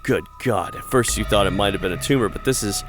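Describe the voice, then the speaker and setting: A man's voice: on the low side, fast, faint music behind. A man hosting a gaming video, reading a horror story aloud expressively and breaking into casual banter. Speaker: gaming channel host and narrator.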